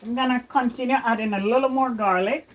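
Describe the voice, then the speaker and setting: A woman's voice: on the high side, a little fast, poor audio. Chatty Jamaica-born woman cooking live. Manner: chatty, talks to viewers while cooking. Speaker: livestream cooking host. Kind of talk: casual cooking livestream. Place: home kitchen. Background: frying and stirring sounds.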